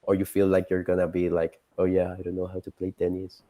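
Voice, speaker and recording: Mellow, low-voiced man coaching a group. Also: completely flat delivery, fast. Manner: informal, encouraging, peppers talk with rhetorical questions. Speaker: career coach leading a group call. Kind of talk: casual motivational coaching on a group call. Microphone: video call.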